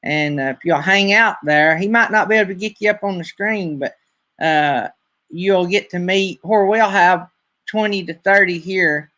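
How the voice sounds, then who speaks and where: A man's voice: sits high, moderate pace, sharp tone. A man with a Southern accent hosting a casual live-stream chat, rambling along with plenty of fillers. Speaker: host.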